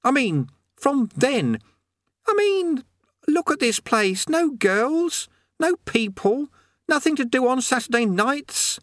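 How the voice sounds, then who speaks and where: A frantic, demanding teenage boy, voiced by a male narrator whines in a high, strained voice, dragging out his words when he insists. His speech swings up and down with agitation. The recording is close and dry, like an audiobook booth. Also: very animated, takes it slowly, fairly bright tone, no echo, heavily acted. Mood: frantic whining. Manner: petulant, pleading, high and strained. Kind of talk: audiobook character voice.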